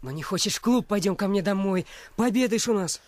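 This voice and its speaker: An actor playing a spirited, insistent teenage boy in a radio play, with a husky voice and brisk colloquial talk. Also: mid-range voice, lightly acted. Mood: friendly, insistent.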